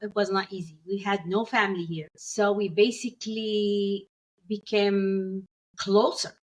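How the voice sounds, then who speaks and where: A woman's voice: medium pitch, fairly even intonation, a little slow, low-quality recording. Syrian-raised woman dentist telling her story. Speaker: dentist, guest. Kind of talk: interview storytelling.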